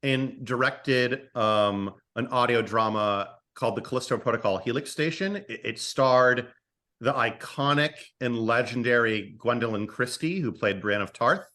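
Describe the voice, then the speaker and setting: A man's voice: medium pitch, unhurried, sharp tone. A man speaking as a writer guest in a casual interview, hesitant and often repeating words as he forms his thoughts. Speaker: podcast guest, screenwriter and audio drama writer.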